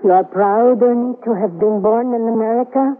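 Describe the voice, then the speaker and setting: An actress playing a gentle, talkative Polish immigrant woman in her fifties with a Polish accent, speaking softly, formally and with small stammers. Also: a little high, moderate pace, close to an everyday voice. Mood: gentle, probing. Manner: soft-spoken, stammering, formal phrasing. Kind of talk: old-time radio drama acting. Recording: tinny, lo-fi radio broadcast with faint hiss.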